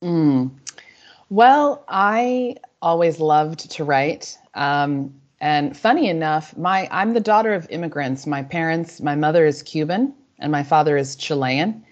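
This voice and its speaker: Low-voiced woman, native Spanish speaker. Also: expressive, measured pace. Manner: warm, reflective storytelling with frequent restarts. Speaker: author and guest. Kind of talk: interview answers. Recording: slightly dull lo-fi audio.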